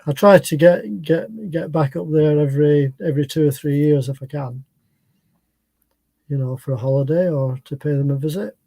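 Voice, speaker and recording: A man's voice: fairly high pitch, moderate pace, creaky, dark tone, no echo. A man from Scotland living in England, chatting easily on a video call and often repeating himself. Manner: easygoing, repeats himself, agreeable. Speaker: guest. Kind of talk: casual chat.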